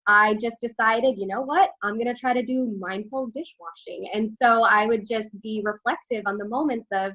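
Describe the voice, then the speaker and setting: A woman's voice: on the high side, normal speed, few pauses, fairly bright tone, lo-fi sound. Upbeat woman from Texas presenting. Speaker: webinar co-presenter. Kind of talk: webinar talk.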